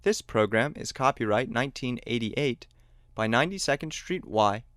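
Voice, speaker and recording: A man giving a scripted closing announcement in an even, formal read. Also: medium pitch, normal speed, no room sound, studio quality.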